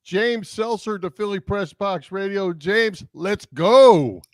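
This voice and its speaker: A man's voice: high-pitched, slow pace, dry recording, clean studio sound. A man co-hosting a casual sports podcast, chatting about local teams.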